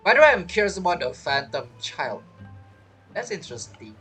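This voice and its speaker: A woman's voice: on the low side, sing-song, normal speed, fairly bright tone, husky, faint music behind. A woman doing casual game playthrough commentary, informal and musing aloud as she reacts to the story. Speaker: gamer reacting to a story quest.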